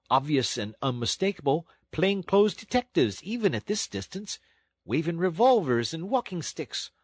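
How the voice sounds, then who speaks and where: A man voicing a stern but kindly train engine driver who speaks in a firm, plain way, in a husky voice. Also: medium pitch, no echo. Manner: steady, firm and plain-spoken. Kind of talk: audiobook storytelling.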